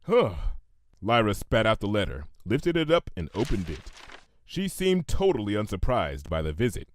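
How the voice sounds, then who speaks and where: A man's voice: lowish voice, huge pitch swings, moderate pace, no room sound. A man narrating a comedic fan fiction story in a theatrical, emphatic manner, drawing phrases out for suspense.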